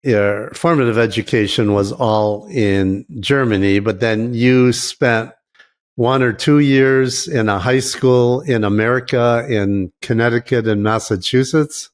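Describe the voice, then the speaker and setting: A man's voice: mid-range voice, unhurried. A man hosting a podcast interview, asking his guest questions in a friendly, conversational way. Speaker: podcast host.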